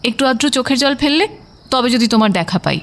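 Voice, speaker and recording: High-voiced exasperated young girlfriend. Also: animated, fast talker. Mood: exasperated, pleading. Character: young woman in love, exasperated, anxious, candid. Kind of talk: audio story romantic drama acting.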